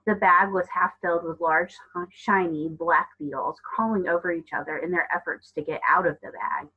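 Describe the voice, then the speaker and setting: A woman reads a children's novel aloud in a lowish voice with a slightly husky grain. She narrates as a storyteller, and the recording sounds a bit dull. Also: fairly even intonation, moderate pace. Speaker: storybook reader.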